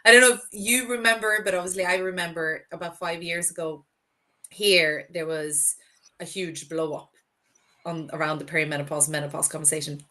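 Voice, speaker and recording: A woman with a lowish voice speaks as a guest on a podcast. She is unwell and her voice is failing. She keeps it informal, telling things as casual anecdotes. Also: fairly even intonation, normal speed, sharp tone. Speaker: podcast guest, startup founder. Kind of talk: casual interview talk.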